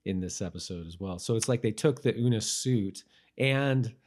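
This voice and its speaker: A man co-hosting a podcast, chatting casually and informally in a halting way, as if thinking aloud. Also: on the low side, a little mellow. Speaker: podcast co-host. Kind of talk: casual chat about a TV rewatch.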